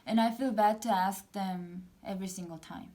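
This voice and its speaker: A woman's voice: medium pitch, steady tone, mellow. Hesitant Korean-accented young woman. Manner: hesitant, halting, frequent fillers and restarts. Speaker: interviewee. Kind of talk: casual interview answers.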